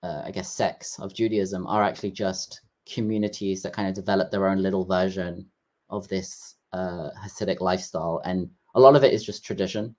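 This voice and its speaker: A man talking as a guest in a casual interview, explaining things in a halting way with frequent restarts. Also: low voice, steady tone, a little mellow.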